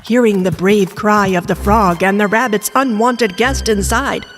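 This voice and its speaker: A woman's voice: medium pitch, fairly even intonation, unhurried, faint music behind. A woman narrating a scripted children's bedtime story as storyteller.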